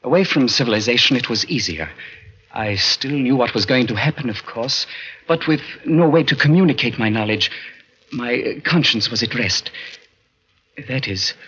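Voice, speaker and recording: A man's voice: mid-range voice, normal speed, fairly bright tone, a little hiss or hum, close to an everyday voice. An actor in a 1940s radio drama playing an anguished, earnest undead man who foresees the future, speaking with pleading intensity. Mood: sombre, confessional. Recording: muffled vintage 1940s radio recording.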